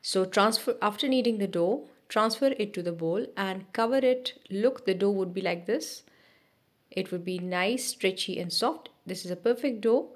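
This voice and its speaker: A woman narrating a cooking video as a voice-over, giving steady step-by-step instructions with small restarts. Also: mid-range voice, moderate pace. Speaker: cooking channel host.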